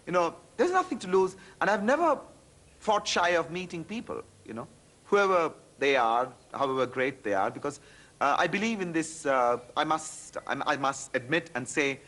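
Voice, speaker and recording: A man with an Indian accent speaking candidly and animatedly in an interview, often restarting his sentences. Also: fairly high pitch. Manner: animated, self-deprecating, with frequent restarts.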